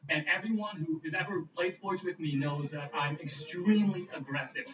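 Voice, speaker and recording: Brief: young male contestant, somewhat high voice, cocky, music under echoey TV audio. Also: moderate pace. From Illinois. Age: 20s. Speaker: game show contestant. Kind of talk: scripted contestant intro. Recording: distant, muffled, roomy TV audio with music.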